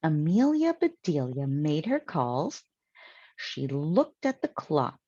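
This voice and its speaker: A woman with an American accent reads a children's story aloud in an animated, deliberate way. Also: sits low, breathy edge, dry recording, highs cut off. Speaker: storytime reader.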